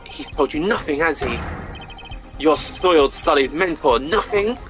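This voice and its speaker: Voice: medium pitch, expressive, measured pace, a bit bright, faint music behind. A streamer voicing a suave young male prosecutor in a courtroom video game, with theatrical, mocking delivery. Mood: smug. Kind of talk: reading game dialogue aloud on stream.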